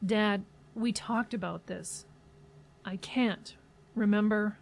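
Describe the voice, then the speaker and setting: An actress playing a worried, patient adult daughter in an audio drama, earnest and gently insistent. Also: female voice, medium pitch, steady tone, slow, creaky, a little hiss or hum. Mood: tender, patient.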